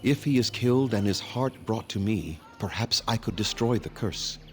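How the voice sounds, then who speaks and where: An actor playing a grave, weary elven clan leader in a fantasy video game, speaking formally and solemnly in measured phrases. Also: male voice, fairly low pitch, lively intonation, natural voice. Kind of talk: scripted video game dialogue.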